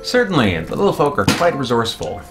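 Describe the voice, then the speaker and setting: A man's voice: medium pitch, huge pitch swings, fast. A man reading out a formal, earnest, wordy arbiter investigator from a fantasy game. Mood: businesslike. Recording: music playing behind the voice.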